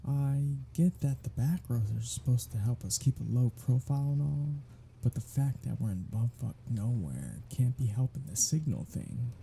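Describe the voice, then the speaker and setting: A performer voicing a cocky, sardonic, teasing vampire in an ASMR roleplay, with a breathy, husky voice and a drawling, sarcastic, playful way of talking. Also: mid-range voice, mellow, slight background noise. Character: cocky, sardonic, teasing, foul-mouthed vampire riding shotgun. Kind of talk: ASMR roleplay audio drama, one-sided conversation.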